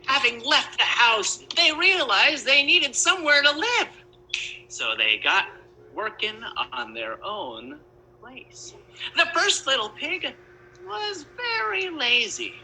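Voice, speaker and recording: A woman's voice: high-pitched, big pitch swings, slow, sharp tone, slight background noise, poor audio. A woman narrating a storybook tale, adding wry asides as she goes.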